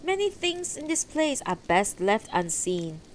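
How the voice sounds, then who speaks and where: A woman's voice: a little high, sing-song, measured pace, slight background noise, voice pushed up. A woman voicing a proud, blustering old male knight in a fantasy story, formal and indignant. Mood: dismissive.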